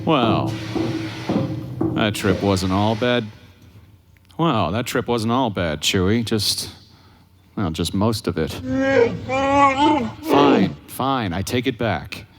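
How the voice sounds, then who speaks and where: An actor playing a cocky, sardonic young smuggler pilot in a live audio drama, brash and wisecracking. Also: male voice, fairly low pitch, big pitch swings, slow pace, pauses often, music in the background, exaggerated voice. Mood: wry, teasing. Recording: live stage recording with background noise. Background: sound effects.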